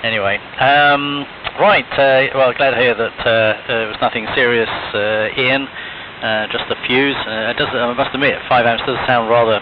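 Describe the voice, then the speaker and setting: A man chatting on an amateur radio net, hesitant, full of ums, and often clearing his throat. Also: mid-range voice, fairly even intonation, normal speed, dull audio. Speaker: ham radio operator. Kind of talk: casual on-air radio chat. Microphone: radio transceiver mic heard over the air. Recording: noisy, band-limited shortwave radio hiss.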